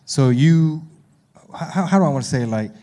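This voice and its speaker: A man with a fairly high voice and a Texan accent, talking as a podcast host. His chat is casual, full of slang and familiar address. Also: animated, moderate pace, warm tone. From Texas.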